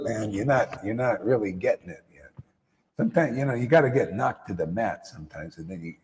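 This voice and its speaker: A man giving a lively spiritual talk to a live audience, slangy and repetitive, often calling listeners "man". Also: medium pitch, long reverb tail. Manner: animated, slangy, repeats phrases, calls listeners "man". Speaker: spiritual teacher. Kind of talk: casual live-stream talk.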